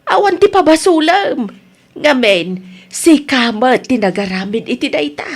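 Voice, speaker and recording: A patient older mother in a radio drama. She speaks with a Filipino accent, concerned and gentle, admonishing frankly. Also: sits high, animated, unhurried, full character voice.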